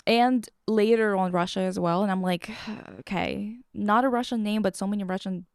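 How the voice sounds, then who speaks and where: A woman's voice: medium pitch, normal speed, creaky, clean tone, no echo, clean studio sound. A woman hosting a podcast in casual chat, slangy, sweary and irreverent as she recaps and reads things aloud. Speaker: podcast host.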